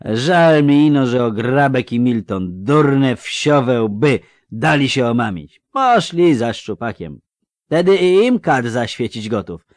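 A man's voice: mid-range voice, lively intonation, takes it slowly, no echo, clean studio sound, voice pushed up. A man voicing a drunk, boastful young country lad who talks in a slurred rustic dialect. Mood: tipsy.

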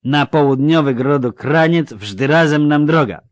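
Man putting on a drunk country boy, loud, high, slurry bragging village talk. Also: unhurried, no room sound, studio quality, close to an everyday voice. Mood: tipsy. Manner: slurred rustic dialect, tipsy boasting. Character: drunk young male country lad, boastful and crude.

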